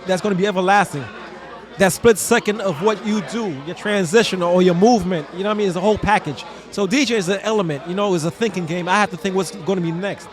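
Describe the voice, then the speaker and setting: A man's voice: high voice, fast talker, some chatter behind. A man, a guest DJ talking animatedly and streetwise in a casual interview. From Trinidad. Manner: animated streetwise talk, keeps saying "you know what I mean". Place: outdoors.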